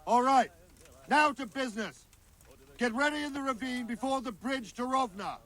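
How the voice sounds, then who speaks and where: Crude medieval lord, declamatory, sardonic, strikingly high-pitched, video game drama. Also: male voice, moderate pace, a bit bright. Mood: rousing, sardonic. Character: brash, crude medieval lord rallying his troops. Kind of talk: dramatic game cutscene acting.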